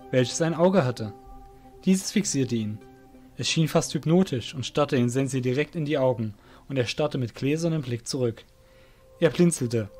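A man's voice: medium pitch, warm tone. A man narrating a scripted fantasy story as the narrator of an audio drama.

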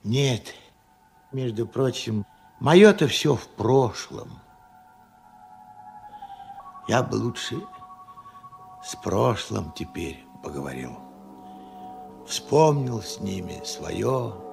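An actor playing a regretful, stubborn old working man in a radio play, plain-spoken and reflective, with halting speech. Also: lowish voice, animated, a little mellow, soft background music, heavily acted. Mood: stubborn, curious. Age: elderly. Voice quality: husky, creaky old voice. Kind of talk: Soviet radio play acting.

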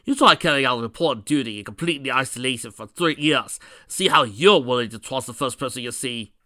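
Performer doing a gruff, forceful male echidna guardian. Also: mid-range voice, brisk, dry recording, clean studio sound. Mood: defensive. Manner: growling, defensive, blunt.

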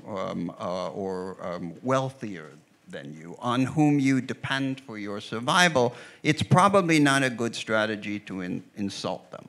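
A middle-aged American man, an author and historian, explaining history in a measured way with frequent fillers, speaking into a handheld mic on an outdoor veranda stage. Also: mid-range voice, gravelly, a bit bright. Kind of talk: onstage conversation.

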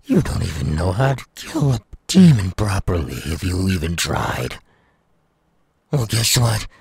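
Male demon villain, audio roleplay: menacing and taunting, gravelly, swinging widely in pitch, recorded close and dry. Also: big pitch swings, darkish tone, no echo, full character voice. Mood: scornful. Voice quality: gravelly, raspy. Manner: slow, taunting, drawn-out delivery with wide swings. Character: menacing, sadistic male demon villain craving human flesh. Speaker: voice actor.

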